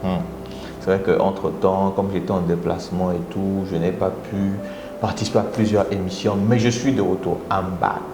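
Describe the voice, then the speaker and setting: A Congolese man with a Congolese French accent hosting a live motivational talk, coaxing his viewers and often jumping into high exclamations. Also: moderate pace, music in the background. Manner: animated, coaxing audience, rising into high exclamations. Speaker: motivational speaker and live host. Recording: echoey room with audible background noise.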